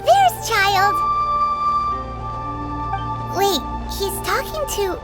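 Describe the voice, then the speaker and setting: A tiny fairy companion for an anime-style game. The voice is extremely high, squeaky and childlike, excitable and swooping, with clear music underneath. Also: female voice, very slow, full character voice. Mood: curious, chirpy.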